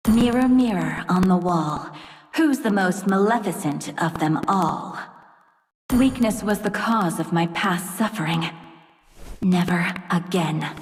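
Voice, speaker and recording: An actress playing a proud, vengeful fairy-tale princess turned queen in a video game, regal, cold and dramatic. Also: on the low side. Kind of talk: video game character voice lines. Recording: long reverb, music behind.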